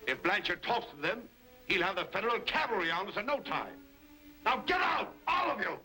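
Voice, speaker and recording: An actor playing a scheming, commanding western villain boss in an old-time radio serial style. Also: male voice, high-pitched, sing-song, fairly quick, sharp tone, full character voice, rough recording. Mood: furious. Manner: commanding, menacing, emphatic. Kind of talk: 1930s-40s western serial acting. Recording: vintage radio recording with music.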